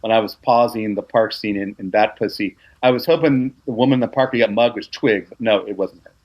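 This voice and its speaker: Guy rambling on a podcast, low-ish voice, kinda dull lo-fi sound. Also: fairly even intonation, fairly quick. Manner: rambling, with frequent restarts and laughs. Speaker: guest film researcher. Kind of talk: casual podcast chat.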